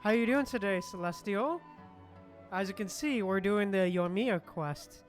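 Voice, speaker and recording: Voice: medium pitch, a little slow, faint music behind. A streamer giving casual, chatty live commentary on a game and speaking directly to viewers. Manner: chatty, addresses viewers by name.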